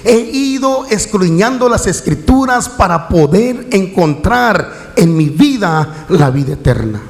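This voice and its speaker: A man's voice: high-pitched, sing-song, a little slow. A middle-aged man from Mexico, a pastor preaching a sermon, often addressing his listeners and asking them questions. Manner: preaching with a rhetorical refrain and questions to the congregation.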